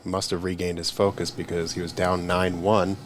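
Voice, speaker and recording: Deep-voiced pool commentator. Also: male voice, flat delivery, normal speed, a little hiss or hum. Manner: easygoing, asks questions and fills with "uh". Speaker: tournament commentator. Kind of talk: live sports commentary. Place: commentary booth at a pool tournament.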